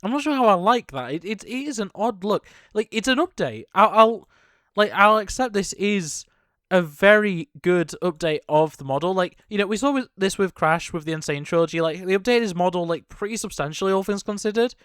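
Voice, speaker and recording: A man's voice: high voice, animated, brisk, fairly bright tone, dry recording, clean studio sound. A young man giving casual, off-the-cuff reaction commentary on a video game trailer, rambling and thinking aloud as he goes.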